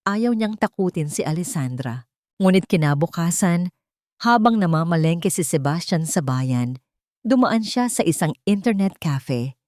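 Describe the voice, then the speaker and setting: A woman narrates a scripted drama in a fairly low voice. The sound is dry, with very little room. She breaks the story into short phrases with deliberate pauses. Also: a little mellow, dry recording. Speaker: narrator of audio love stories.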